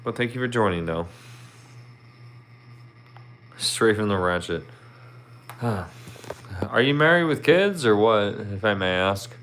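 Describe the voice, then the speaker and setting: A man's voice: on the low side, expressive, normal speed, fairly bright tone, a little hiss or hum. A man in his thirties with a Philadelphia accent, chatting casually with viewers on his livestream in a rambling, friendly way full of "you know". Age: 30s. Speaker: livestream host.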